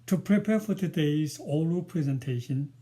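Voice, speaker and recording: A man in his sixties from China, Mandarin his first language, speaking English with a Chinese accent as an author introducing his book, careful and hesitant with many pauses. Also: a little high, animated, dark tone. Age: 60s. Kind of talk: self-introduction before a book reading.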